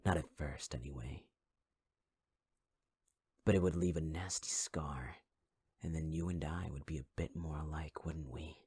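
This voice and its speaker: Male actor as a scarred, possessive villain: very low, husky, taunting half-whisper, dry close mic. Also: steady tone, unhurried, long pauses, warm tone, dry recording, heavily acted. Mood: menacing. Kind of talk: ASMR audio roleplay.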